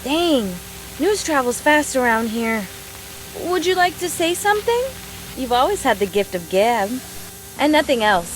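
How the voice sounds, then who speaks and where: A woman's voice: high voice, big pitch swings, unhurried, a little hiss or hum, low-quality recording. A woman playing a playful, affectionate, brash young woman soldier in a video game voice drama, with a casual teasing manner.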